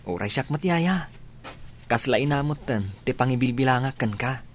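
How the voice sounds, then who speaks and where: A man's voice: medium pitch, expressive, moderate pace, slight background noise, natural voice. A man acting in a radio drama as a dutiful, affectionate young student son. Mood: warm, grateful.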